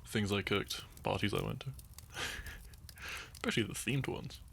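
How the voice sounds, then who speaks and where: A man's voice: on the low side, wildly swooping pitch, moderate pace, creaky, fairly bright tone, husky. A young man talking to his listeners in a meandering, unscripted ramble. He laughs often, loses his thread, and sips wine as he goes. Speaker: audio content creator.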